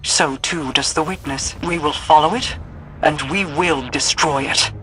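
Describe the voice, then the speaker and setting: A man's voice: fairly high pitch, a little slow, close to an everyday voice. A man playing a grave, commanding mystic war leader in a video game, with formal, portentous delivery. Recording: music behind the voice.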